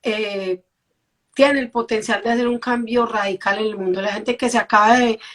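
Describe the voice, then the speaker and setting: A woman's voice: on the high side, steady tone, normal speed, fairly bright tone. A woman hosting a casual live-stream chat, earnestly encouraging her viewers. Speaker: host.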